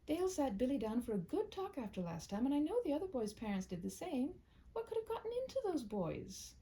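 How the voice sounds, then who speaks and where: A woman voicing a genial, chatty older grandmother in a read-aloud story, fondly exasperated and expressive. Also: high-pitched, brisk, pitched above the performer's own voice. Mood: apologetic, exasperated.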